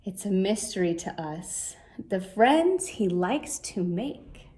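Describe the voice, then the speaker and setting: A woman's voice: mid-range voice, big pitch swings, slow pace, dark tone. A woman voicing scornful, gossipy townsfolk who disapprove, in a children's storybook. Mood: disapproving. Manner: scornful, exclaiming. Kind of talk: storybook character voice.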